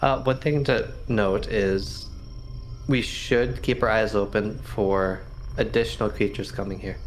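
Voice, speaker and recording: A man's voice: lowish voice, steady tone, measured pace, faint music behind, rough recording. A man playing a tabletop roleplaying game, casually planning tactics with the group.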